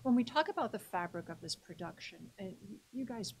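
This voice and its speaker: A playful woman host runs a studio interview, teasing her guests as she asks lively questions. Her pitch is mid-range, and she speaks into a desk microphone in a small TV studio. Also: brisk, low-quality recording. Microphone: desk microphone on a boom.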